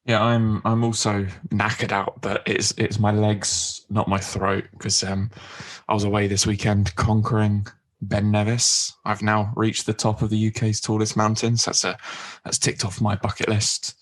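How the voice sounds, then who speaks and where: A man co-hosting a podcast, chatting in a relaxed way with small restarts. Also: fairly low pitch, measured pace. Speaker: podcast co-host. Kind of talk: casual podcast chat.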